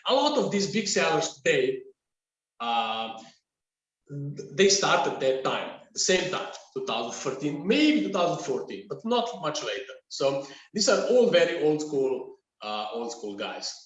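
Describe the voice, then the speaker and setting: Male podcast guest, middle-aged, Slovenian accent. Halting, repetitive delivery, slightly high pitch, roomy distant sound. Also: very animated. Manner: halting, repeats words. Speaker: podcast guest, agency founder. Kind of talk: casual conversational interview answer. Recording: echoey room, distant from mic.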